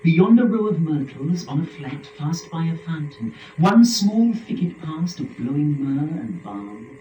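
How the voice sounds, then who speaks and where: A man playing a sly, flattering serpent in a dramatic verse reading, drawing out each phrase in a declamatory way. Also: on the high side, slight background noise. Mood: eager, inviting. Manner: declamatory, drawn-out, savouring phrases. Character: flattering, sly serpent tempter from Paradise Lost. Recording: dull, echoey, distant stage recording. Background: music.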